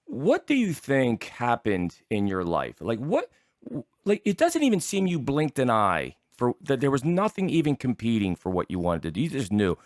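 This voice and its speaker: Animated male talk show host in his sixties. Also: mid-range voice, normal speed, no echo, studio quality. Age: 60s. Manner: rambling, teasing. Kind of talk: casual, joking interview chat.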